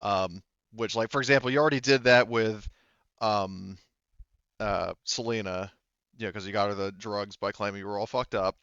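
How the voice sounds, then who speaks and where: Smooth-voiced man running a tabletop game. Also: fairly low pitch, steady tone, normal speed, fairly bright tone, no room sound, lo-fi sound. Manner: informal, improvised, hesitant phrasing. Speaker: game master. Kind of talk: casual tabletop roleplaying game narration.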